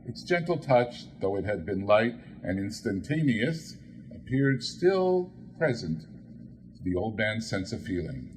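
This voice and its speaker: A man narrating a classic story as an audiobook reader, in a dramatic, measured and expressive style. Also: on the low side, a little hiss or hum, poor audio.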